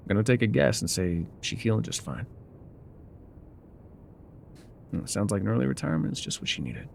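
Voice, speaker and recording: An actor playing a gruff, wry loner guide in an ASMR roleplay, with a dry, sardonic, unhurried delivery. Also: male voice, lowish voice, lively intonation, a little mellow, slight background noise. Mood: gentle, reassuring. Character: gruff, wry loner man guiding a rider on foot.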